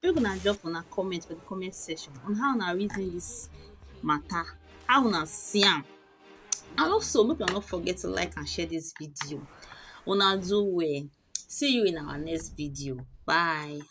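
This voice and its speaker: A woman's voice: lowish voice, animated, normal speed. Woman vlogger, Nigerian Pidgin accent, chatty, faint music bed. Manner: chatty, addresses viewers. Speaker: channel host. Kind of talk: video sign-off.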